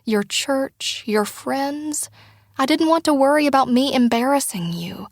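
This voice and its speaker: A woman voicing an anxious young grown daughter who talks earnestly and apologetically, her pitch climbing. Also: lively intonation, measured pace, no echo, clean studio sound. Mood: pleading. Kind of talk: audiobook character dialogue.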